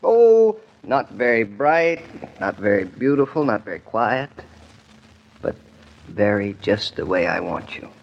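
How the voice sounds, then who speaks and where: An actor playing an affectionate young husband in an old-time radio drama, gently concerned and playfully deadpan. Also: male voice, on the low side, measured pace, vocal fry, full character voice. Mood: teasing, fond. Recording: dull, lo-fi old radio recording.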